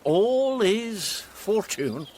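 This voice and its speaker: A man's voice: high-pitched, very animated, drawn-out words, background noise, full character voice. An actor playing a pompous, self-deluded steward in a Shakespearean radio comedy, savouring his grand fantasies theatrically. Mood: smug musing.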